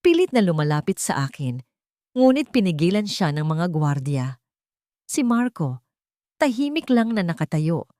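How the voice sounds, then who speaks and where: A woman reading a scripted first-person dramatic story in a measured, expressive way. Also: on the low side, dry recording, clean studio sound. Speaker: audio story narrator.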